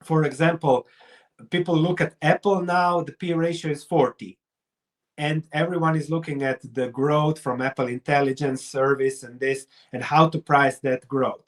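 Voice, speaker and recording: A man's voice: fairly high pitch, steady tone, moderate pace. A middle-aged man with a Croatian accent explains things step by step as an investor being interviewed. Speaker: investor and researcher, interview guest.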